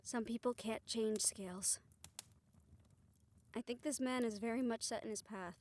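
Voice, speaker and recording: A woman playing a composed aunt in a fantasy audio drama, speaking in a measured, deliberate way. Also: fairly high pitch, a little mellow, natural voice. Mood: serious, resigned. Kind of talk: audio roleplay drama acting.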